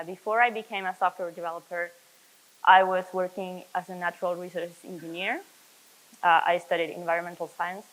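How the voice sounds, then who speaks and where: A woman's voice: lowish voice, a bit bright, low-quality recording. Chilean woman giving a tech conference talk. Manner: earnest, explanatory, frequent "uh" fillers and self-corrections. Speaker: conference speaker, software developer.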